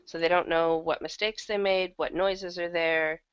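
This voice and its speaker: A woman's voice: lowish voice, fairly even intonation, moderate pace, clear, resonant tone, no room sound, lo-fi sound. A woman with a slightly worn, thrashed throat, talking casually and candidly as an interview guest who acts in audio drama.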